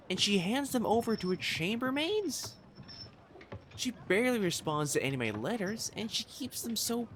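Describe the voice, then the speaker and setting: Male actor for a prissy, lovestruck young celestial matchmaker in period romance audio drama: high-pitched, highly animated, pompous, prone to stammering. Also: a little hiss or hum, exaggerated voice. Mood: haughty, indignant. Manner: pompous, formal diction, stammering when flustered. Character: haughty, flustered, lovestruck young male divine matchmaker in imperial China. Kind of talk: romantic audio roleplay, theatrical monologue.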